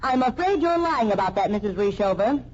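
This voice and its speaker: A woman's voice: mid-range voice, animated, fairly quick, lightly acted. An actress playing a shrewd, sardonic female investigator in a mystery radio drama, with pointed, wry delivery. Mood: accusing. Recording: dull, band-limited old radio recording.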